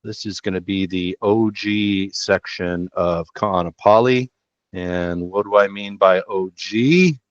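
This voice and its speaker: A man's voice: deep voice, slow pace, no echo. Laid-back slangy man on a headset. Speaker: real estate show host. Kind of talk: casual listing commentary. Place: small room at a desk. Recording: dull dry home recording.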